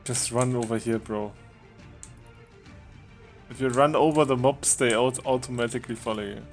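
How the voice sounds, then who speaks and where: A man casually chatting with a friend while they play a game together, relaxed and joking, laughing now and then. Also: medium pitch, moderate pace, soft background music. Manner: relaxed, joking, chuckles often. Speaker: game streamer. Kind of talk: casual gaming chat with a friend.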